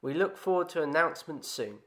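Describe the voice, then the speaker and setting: A woman's voice: low voice, expressive, brisk, a bit bright, slight background noise. A woman acting as a polite, formal radio interviewer in an amateur radio play. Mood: polite, dry.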